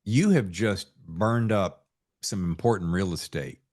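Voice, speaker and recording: A man's voice: low voice, measured pace, a little mellow. A man hosting a casual chat, emphatic and given to acting out imagined reactions. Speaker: podcast host.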